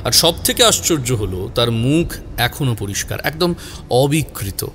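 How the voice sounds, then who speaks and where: A man narrating a scripted horror story in a suspenseful, measured way, drawing out key words. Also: mid-range voice, animated, a bit bright, a little hiss or hum.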